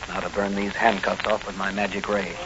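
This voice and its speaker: An actor playing a bold, commanding masked crime fighter in a declamatory 1940s radio style. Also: male voice, on the low side, completely flat delivery, brisk, natural voice. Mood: determined. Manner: declamatory, thinking aloud. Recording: muffled, noisy old radio recording.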